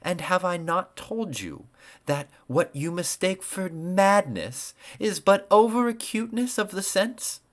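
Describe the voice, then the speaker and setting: A man's voice: sits high, expressive, unhurried, pitched above the performer's own voice. A man playing an obsessed gothic madman narrator. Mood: furious, intense. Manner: theatrical, emphatic, repeating words, dropping to whispers. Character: mad murderer narrator, obsessed, cunning, gloating, insistent he is sane. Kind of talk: dramatic gothic audiobook narration.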